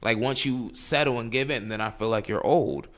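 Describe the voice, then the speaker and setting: A man in his late twenties co-hosting a casual podcast chat, animated and swearing freely as he acts out his own thoughts. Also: medium pitch, moderate pace. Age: late 20s. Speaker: podcast co-host. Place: New York City.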